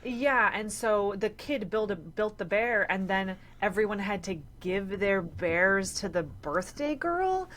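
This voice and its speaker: A woman's voice: medium pitch, animated, slow, hardly any pauses, fairly bright tone. A woman in her thirties in casual podcast conversation, explaining things colloquially and thinking aloud as she goes. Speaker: podcast co-host. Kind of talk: casual chat, explaining a story.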